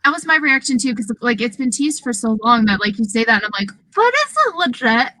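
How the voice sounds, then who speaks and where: A woman's voice: on the high side, lively intonation, fairly quick, sharp tone, no echo, poor audio. A woman chatting casually about video games with friends on a podcast. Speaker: podcast panelist.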